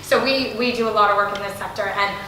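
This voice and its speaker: A woman with a slightly hoarse, bright voice gives a live talk to an audience. She is keen and enthusiastic, often restarting her phrases. The sound is very echoey with a faint hum behind. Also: mid-range voice, steady tone, fast talker. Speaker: guest speaker from a foundation. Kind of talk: live speech at an event. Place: large room, audience present. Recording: very echoey room, faint noise.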